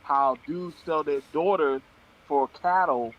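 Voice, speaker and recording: A man's voice: on the high side, slow, muffled recording, slight background noise. Confrontational man pressing his point. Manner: confrontational, needling, casual slang. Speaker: panelist. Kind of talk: heated panel debate.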